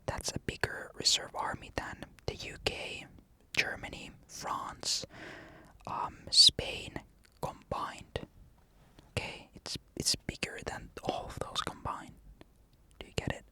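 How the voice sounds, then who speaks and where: A man's voice: slow, sharp tone. A young man from Finland with a Finnish accent, answering comments in a casual, matter-of-fact ASMR whisper. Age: young adult. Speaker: ASMR creator. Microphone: USB condenser mic.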